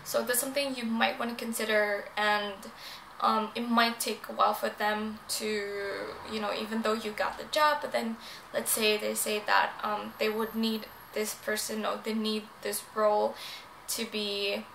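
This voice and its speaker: Young non-native English-speaking woman, earnest chatty vlogger, even tone. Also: medium pitch, unhurried, creaky. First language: not English. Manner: chatty, many ums, earnest. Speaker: vlogger giving advice. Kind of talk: casual advice vlog. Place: room in Japan. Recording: echoey room, faint noise.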